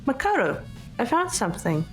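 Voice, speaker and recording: A woman's voice: a little high, wildly swooping pitch, moderate pace, soft background music, rough recording. Woman acting cool, blunt teenage girl detective. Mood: pointed. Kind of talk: amateur visual novel voice acting.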